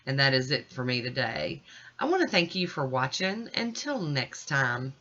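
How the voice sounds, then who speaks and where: Casting: American woman, middle-aged, bright forward voice, informal folksy tutorial narration, slightly muffled home recording. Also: extremely low pitch, big pitch swings, moderate pace. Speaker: nail art vlogger. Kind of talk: casual tutorial voice-over.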